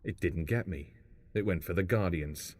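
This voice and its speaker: A man's voice: sits low, normal speed, a little mellow. A man acting as a scornful, nagging travelling companion in an audio drama, sarcastic and accusing.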